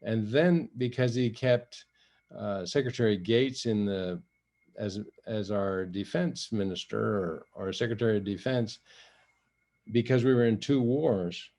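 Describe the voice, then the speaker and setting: Older man from Africa, lowish voice. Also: a little mellow. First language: Swahili. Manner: measured storytelling with frequent restarts and light laughs. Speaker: guest, retired general and envoy. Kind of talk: interview conversation.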